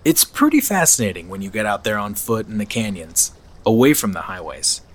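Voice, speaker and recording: Affable traveler, swooping intonation. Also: male voice, lowish voice, very animated, fairly quick. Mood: informative, enthusiastic. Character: affable, knowing male RV traveler, subtly probing. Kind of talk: naturalistic audio drama acting.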